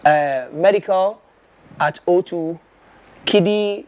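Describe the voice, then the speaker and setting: A man with a fairly high voice joins a studio discussion. He talks in at a measured pace, pausing on fillers as he builds his points. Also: a little mellow. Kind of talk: talk show discussion.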